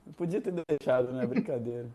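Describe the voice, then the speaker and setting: A young man from Rio de Janeiro with a Carioca accent, casually telling a personal story, animated and slangy, acting out other people's lines. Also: medium pitch, fast, a loud crowd. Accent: Carioca Brazilian Portuguese. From Rio de Janeiro, Brazil.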